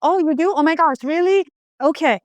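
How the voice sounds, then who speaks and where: A woman hosting an interview, affirming her guest in a casual, halting way with fillers. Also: high voice, no echo. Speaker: podcast host.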